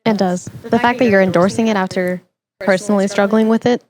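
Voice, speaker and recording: A woman's voice: mid-range voice, fairly even intonation, fairly quick, a crowd in the background. A young woman hosting a podcast, chatting casually with an encouraging, affirming manner. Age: young adult. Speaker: podcast host. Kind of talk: casual interview chat.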